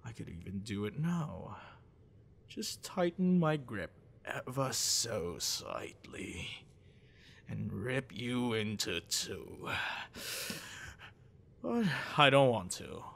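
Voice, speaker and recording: Guy voicing a creepy obsessed young shapeshifter boyfriend, asmr roleplay, intimate and possessive, lots of vocal fry, drags words out slow. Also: mid-range voice, big pitch swings, a bit bright, exaggerated voice. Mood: taunting, menacing.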